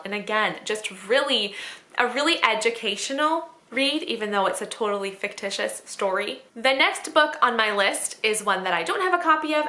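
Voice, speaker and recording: A woman with a bright, forward voice hosts a casual home vlog. She chats informally and thinks out loud as she makes her recommendations. The room around her adds a noticeable echo. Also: medium pitch. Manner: informal, conversational, thinking aloud. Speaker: homeschooling mother and video host. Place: indoors at home. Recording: roomy, echoing home recording.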